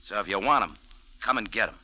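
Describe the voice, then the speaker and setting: An actor playing a tough, wisecracking American café owner and adventurer, clipped and sardonic, in old-time radio style. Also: male voice, lowish voice, animated, quick pace, sharp tone, heavily acted, poor audio. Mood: hard, confident. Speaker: lead actor and narrator. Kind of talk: old-time radio detective acting and narration.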